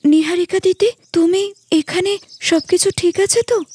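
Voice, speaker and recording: An actress plays a humble young village wife and younger sister in a cartoon moral tale. Her voice is high and dry, close and clean. She speaks politely and earnestly, keen to accommodate. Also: steady tone, a little slow, dark tone, dry recording. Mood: surprised concern. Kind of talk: cartoon moral story acting.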